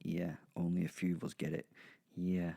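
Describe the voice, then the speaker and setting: A man gives an informal podcast introduction. His voice is on the low side and mellow, and his delivery wanders along with a wry touch. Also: flat delivery, moderate pace, gravelly. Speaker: podcast host.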